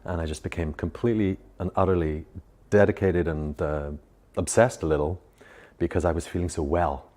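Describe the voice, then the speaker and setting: A man with a Belfast accent, a meditation teacher, speaking slowly and with long pauses. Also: low-pitched, fairly even intonation, warm tone. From Northern Ireland. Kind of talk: reflective personal account.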